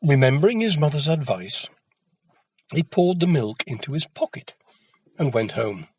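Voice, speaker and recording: A man narrating a children's folktale as an expressive storyteller. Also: on the high side, moderate pace, slightly rough, no echo.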